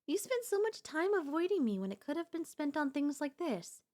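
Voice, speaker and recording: A woman's voice: high-pitched, very animated, normal speed, a little mellow. A woman playing a doting, possessive girlfriend in an ASMR audio roleplay, speaking in flowery, coaxing endearments with a teasing elegance. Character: doting, possessive yandere girlfriend, sweetly insistent and theatrical.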